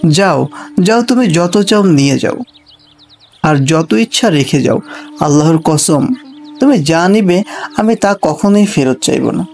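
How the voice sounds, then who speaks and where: A humble, open-handed villager in a moral cartoon. He sounds eager and sincere, slightly high for a male, with soft music behind him. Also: animated, measured pace, gravelly, long pauses. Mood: grateful, eager. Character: grateful, generous formerly blind man.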